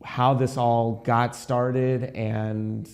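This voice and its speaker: A man's voice: medium pitch, level tone, drawn-out words. A man hosting an interview in a studio, chatty and enthusiastic, with rambling introductions. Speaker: rabbi and podcast host. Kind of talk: casual interview chat. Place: recording studio.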